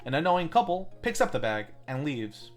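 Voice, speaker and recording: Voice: medium pitch, very animated, brisk. A film review host narrating a scripted plot recap with tongue-in-cheek asides. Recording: music under the voice.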